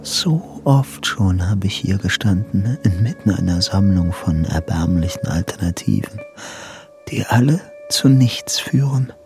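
A man's voice: sits low, animated, a little slow, gravelly, a little mellow, soft background music, heavily acted. An actor playing a bitter, cornered man losing his mind in a radio drama, in an inward theatrical monologue. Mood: desperate, fatalistic.

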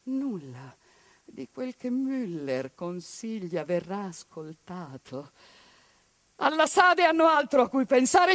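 A woman actress delivering an impassioned, indignant theatrical monologue, swinging between loud outbursts and hushed passages. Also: high-pitched, dry recording. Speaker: stage actress narrating a monologue. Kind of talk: theatrical civic monologue broadcast on radio.

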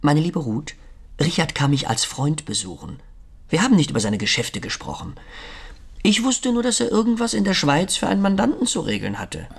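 A man acting a smug, smooth-talking lawyer in a German radio crime drama, condescending and unctuous. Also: on the high side, big pitch swings, moderate pace, exaggerated voice. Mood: evasive, probing.

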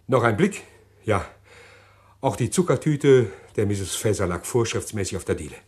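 A condemned man played by a male actor in a German radio thriller. He is a cold-blooded schemer, deliberate in the telling but desperate underneath, and his voice rises and falls widely as agitation takes over. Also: lowish voice, expressive, natural voice. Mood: cold, intent confession. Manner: detailed, deliberate recounting breaking into agitated pleading. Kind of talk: German radio crime drama acting.

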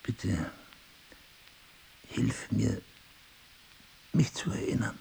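An actor playing a middle-aged amnesiac husband in an audio drama, husky and gravelly, probing and dryly self-mocking. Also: male voice, on the low side, expressive, very slow, mellow, slight background noise, full character voice, poor audio. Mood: subdued, pleading. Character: amnesiac husband, a crime writer, searching and wryly ironic. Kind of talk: intimate audio drama dialogue.

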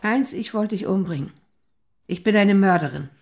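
An actress plays a distraught mother in a radio drama, steeped in guilt and pleading for reassurance. Her voice creaks and rasps with fry as she speaks in anguish. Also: mid-range voice, moderate pace, darkish tone, faint music behind, lightly acted. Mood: anguished, delusional. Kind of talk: radio play acting.

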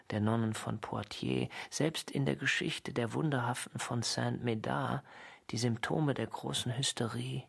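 An inquisitive intellectual in a radio play, fascinated by dark mysteries. His voice sits at a middling pitch with a lot of gravel and huskiness. He phrases things in a musing, literary way. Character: brooding, inquisitive male intellectual drawn to the occult. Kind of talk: German radio play dialogue.

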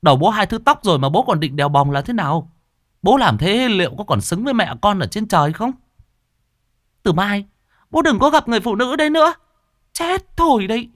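A man's voice: expressive, brisk, fairly bright tone, no echo, studio quality. A man voicing grown children who angrily scold their widowed old father in a spoken story. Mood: angry scolding. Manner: shrill, scolding, hectoring.

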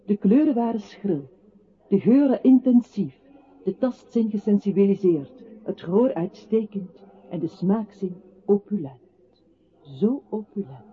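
High-voiced, lyrical male radio narrator. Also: expressive, a little slow, slightly rough, exaggerated voice. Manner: lyrical, recitative, articulate. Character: earnest, lyrical male narrator recounting a composer's life. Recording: thin phone-like radio sound, faint music.

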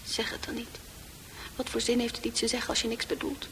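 An actress voicing the inner thoughts of a withdrawn, resentful female psychiatric patient, breathy and mostly whispered, in a radio drama. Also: a little high, little pitch movement, fairly quick, fairly bright tone, close to an everyday voice. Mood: irritated. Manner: secretive, brooding.

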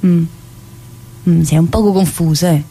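A woman playing a chatty, warm-hearted, middle-aged female prison inmate in a theatrical monologue, meandering and full of little "eh"s. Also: lowish voice, fairly even intonation, normal speed, slightly rough, low-quality recording. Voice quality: chatty and meandering, studded with "eh" and direct address. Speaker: stage actor in a solo play.

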